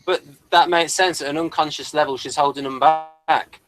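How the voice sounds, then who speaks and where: Higher-pitched man, hesitant and probing. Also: fairly even intonation, normal speed, music in the background, low-quality recording. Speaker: podcast host reviewing TV episodes. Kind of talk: casual discussion.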